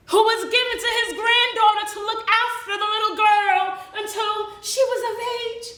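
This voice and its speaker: Bright, high-pitched American woman acting a proud freedom fighter. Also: full character voice. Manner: storytelling with dramatic pauses and repeated phrases. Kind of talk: theatrical solo monologue with sung phrases and audience banter. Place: indoor theatre stage before a live audience. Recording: roomy stage, distant microphone.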